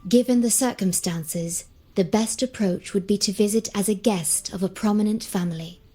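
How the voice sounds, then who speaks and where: An actress voicing a composed, formal young female advisor in a video game, explaining in a measured way. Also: mid-range voice, dark tone, breathy edge, soft background music, lightly acted. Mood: calm, informative. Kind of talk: scripted game dialogue.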